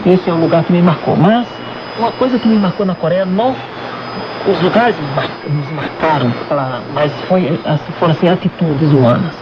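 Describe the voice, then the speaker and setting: A man's voice: fairly high pitch, moderate pace. Noisy, dull recording of a man chatting. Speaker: radio programme guest. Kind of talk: casual travel storytelling. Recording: dull lo-fi radio with clear noise.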